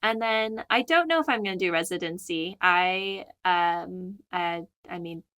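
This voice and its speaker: A woman's voice: lowish voice, unhurried, fairly bright tone, clear, resonant tone. A woman in her twenties from California, a guest on a podcast, chatting casually with lots of fillers and giggles. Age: 20s. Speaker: guest, new veterinarian.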